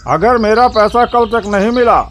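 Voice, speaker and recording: A man doing a shrill, greedy village moneylender. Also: moderate pace, fairly bright tone, a little hiss or hum. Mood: harsh. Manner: domineering and threatening.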